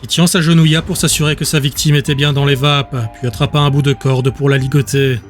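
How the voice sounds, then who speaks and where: A man narrating scripted fiction as an audiobook, with a measured, descriptive storytelling manner. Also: mid-range voice, little pitch movement. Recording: music under the voice.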